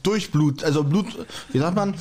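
A man's voice: a little high, moderate pace, darkish tone, slight background noise. A man co-hosting a podcast with casual, teasing banter. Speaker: podcast co-host.

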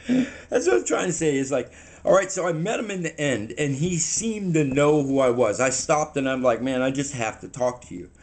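Guy 40s, rambly and stop-start, kinda shaky, talking to a desk camera in a small room. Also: fairly high pitch. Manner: rambling, halting, animated hand gestures. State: shaky, a little unsteady. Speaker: vlogger. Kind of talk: casual storytelling to camera.

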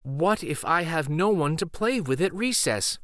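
Man voicing a timid, worried little schoolgirl, animated. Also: on the high side, measured pace, dry recording, studio quality. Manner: hesitant, anxious.

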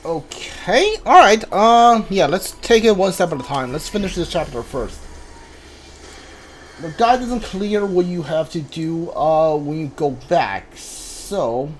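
High-voiced, slow-talking man gaming. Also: expressive, slight background noise. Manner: halting, thinks aloud, mumbles while reading. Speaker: video game streamer. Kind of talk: casual playthrough commentary.

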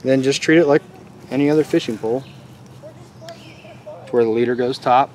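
A relaxed man in his thirties walks through a hands-on project in casual, easygoing talk. He is outdoors in a leafy forest, with faint open-air noise around him. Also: medium pitch, normal speed. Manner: relaxed, chatty, laughs easily. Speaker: outdoors channel host. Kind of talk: casual how-to demonstration.

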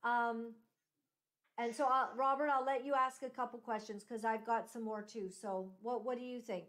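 Halting woman hosting an online show. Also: fairly high pitch, fairly even intonation, a bit bright. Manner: halting, many fillers. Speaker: host. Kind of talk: interview.

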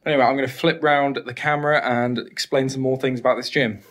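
A man's voice: medium pitch, steady tone, quick pace, a little hiss or hum. A young man in his twenties, a fitness vlogger, chatting upbeat through a home gym tour, filmed on a handheld phone in a small bedroom. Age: 20s. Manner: upbeat, chatty, many fillers. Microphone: handheld phone camera.

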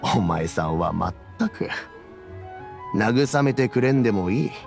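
A man playing a kindly, dignified old elder in a video game, with measured, old-fashioned speech. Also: fairly low pitch, lively intonation, darkish tone, faint music behind. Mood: weary, resigned. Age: older. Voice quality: aged, gravelly.

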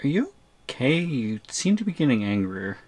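Bewildered shrunken male student, wildly swinging pitch man acting. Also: sing-song, normal speed, a little mellow. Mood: exasperated, pleading. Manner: stammering, incredulous. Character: bewildered, exasperated shrunken male student, three inches tall. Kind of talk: roleplay audio drama.

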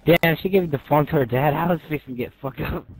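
A man's voice: fairly high pitch, fast talker, husky, no echo, soft background music, rough recording. A young man making casual, foul-mouthed and taunting prank calls. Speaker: prank caller.

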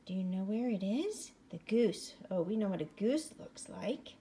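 A woman reading a picture book aloud to young children, gently and often hushed, asking the listener questions as she goes. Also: mid-range voice, expressive, moderate pace, slight background noise. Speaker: bedtime story reader.

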